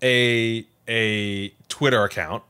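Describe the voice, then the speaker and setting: A man co-hosting a podcast, chatting casually in an animated, playful way. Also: fairly low pitch, takes it slowly, clear, resonant tone. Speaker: podcast co-host.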